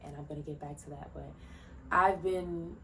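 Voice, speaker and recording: A young woman in her twenties hosts her own podcast from home, talking in a loose, chatty ramble that drifts from one tangent to the next. Her voice sits low for a woman. Faint fireworks pop somewhere behind her. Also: fairly even intonation, moderate pace, vocal fry, fairly bright tone, a little hiss or hum. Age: 20s. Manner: rambling, jumps between tangents; loose, chatty, many fillers and restarts. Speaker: podcast host. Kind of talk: casual solo podcast talk. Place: at home, indoors. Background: faint fireworks popping.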